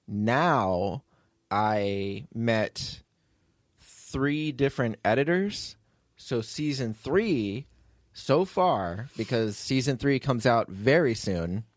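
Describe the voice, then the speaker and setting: A man's voice: mid-range voice, expressive, slow, no echo, studio quality. A man hosting a podcast as its writer and producer, telling behind-the-scenes stories in a casual, rambling way.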